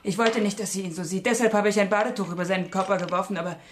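A woman's voice: mid-range voice, fairly even intonation, lightly acted. An actress plays a bereaved mother in a radio thriller, bitter with guilt and close to hysteria. Her words come brokenly and often drop toward a whisper. There is faint noise behind her. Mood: guilt-ridden grief. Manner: halting, broken phrases, sinking into whispers. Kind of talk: radio crime drama acting.

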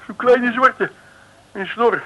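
High-pitched, brash folksy detective. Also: male voice, takes it slowly, dull audio. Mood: indignant, baffled. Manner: informal, slangy, blustering. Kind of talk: 1960s comic radio play acting.

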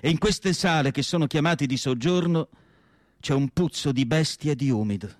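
An actor playing a reflective, melancholic asylum doctor writing his diary, in a solemn, measured radio drama monologue with deliberate pauses. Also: fairly high pitch, dry recording, studio quality, natural voice. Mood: reflective, recollecting.

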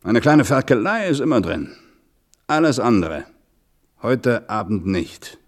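An actor playing a bossy, pragmatic restaurant boss giving curt instructions in colloquial German radio drama. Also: male voice, mid-range voice, very animated, unhurried. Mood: bossy, conspiratorial. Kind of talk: German radio play acting, colloquial monologue.

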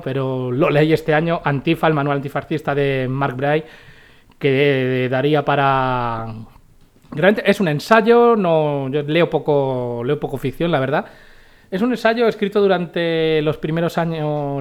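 Chatty man co-hosting a radio show. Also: on the high side. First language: not Catalan. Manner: chatty, rambling with restarts. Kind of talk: casual radio chat reviewing books.

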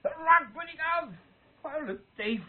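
A man's voice: very high-pitched, animated, takes it slowly, heavily acted. A man playing a drunken, threatening bully with slurred, rambling speech in a radio play. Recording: muffled, phone-like old radio recording.

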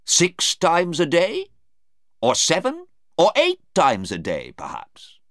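A man playing a sarcastic, relentless prosecuting lawyer in an audiobook, deliberate and insistent. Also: on the high side, huge pitch swings, fairly bright tone, no room sound, studio quality, pitched above the performer's own voice, heavily acted. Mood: mocking. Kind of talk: audiobook character voice.

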